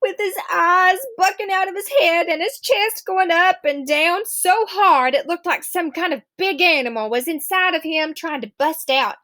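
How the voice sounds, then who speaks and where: A woman reading a children's novel aloud as an expressive storybook narrator. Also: extremely high pitch, normal speed, few pauses.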